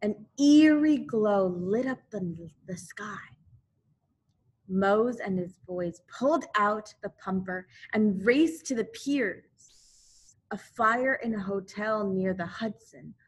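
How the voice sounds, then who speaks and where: A woman teacher reading a children's storybook aloud expressively and deliberately, pausing to explain things to young listeners. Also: mid-range voice, slightly rough, low-quality recording. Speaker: elementary school teacher.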